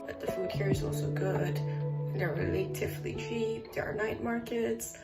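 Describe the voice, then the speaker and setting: A woman from Indonesia, a master's student, chatting softly and casually. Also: medium pitch, steady tone, normal speed, gravelly, roomy sound, recorded from a distance, over loud music. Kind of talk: casual testimonial.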